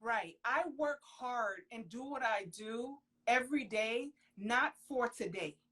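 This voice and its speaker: A young woman with a fairly high voice, raised speaking Creole, answers questions in an earnest, emphatic way. She exclaims often and leans hard on key words during a casual live video chat. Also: animated, slow, crowd noise. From Haitian household, raised in the US. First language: Haitian Creole. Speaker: professional model, interview guest. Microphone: phone on a video call.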